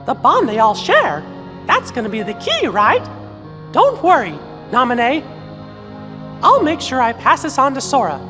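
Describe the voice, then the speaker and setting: A kindly little cricket chronicler in a video game, high-pitched and hugely animated in his rises and falls. Music plays loudly behind him. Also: measured pace, full character voice. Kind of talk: video game cutscene acting and narration. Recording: game audio over loud music.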